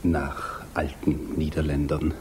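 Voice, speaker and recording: An actor playing an ailing, pedantic gentleman in a 1960s radio play, halting and sometimes hushed. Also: a bass voice, monotone. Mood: absorbed, pedantic. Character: ailing, housebound gentleman absorbed in his art prints. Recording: faintly noisy 1960s radio recording.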